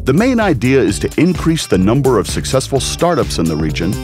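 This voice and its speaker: Guy doing a documentary voice-over, lively pitch, guitar playing under it. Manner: measured, informative. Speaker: documentary narrator. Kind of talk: scripted narration. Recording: music bed under the voice. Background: guitar music.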